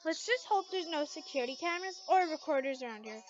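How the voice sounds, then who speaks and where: A woman's voice: high voice, lively intonation, faint music behind. Woman reading as a stammering, bashful teenage boy. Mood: embarrassed. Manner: halting, stammering. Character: bashful, flustered teenage boy with a secret crush. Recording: muffled lo-fi home recording.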